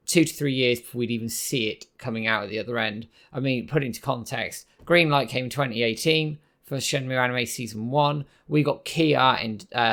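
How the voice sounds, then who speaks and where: A hoarse man hosting a casual news commentary video, hesitant with many filler words. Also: mid-range voice, fairly bright tone. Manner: hesitant, many ums and sort-ofs. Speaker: host.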